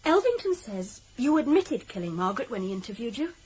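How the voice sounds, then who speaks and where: An actress in a British radio drama playing a determined, outspoken woman probation officer with a brisk, direct manner. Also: a little high, sing-song, darkish tone, breathy edge. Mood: probing.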